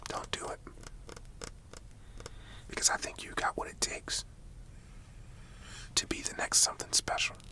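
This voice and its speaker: Male performer as high school principal, stern yet caring, breathy whisper, very bright sibilant sound, admonishing, folksy, ASMR roleplay. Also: measured pace, pauses often. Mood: pleading. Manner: informal, admonishing, folksy phrasing.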